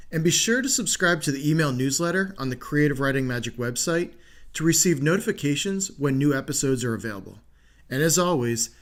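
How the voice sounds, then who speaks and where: A man's voice: fairly high pitch, fairly bright tone. A man hosting an interview podcast, asking questions and addressing listeners. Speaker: podcast host.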